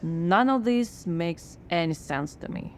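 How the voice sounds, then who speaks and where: A woman's voice: on the low side, animated, takes it slowly, a little hiss or hum. A woman playing a skeptical, frazzled scriptwriter in a radio drama, dry and sardonic.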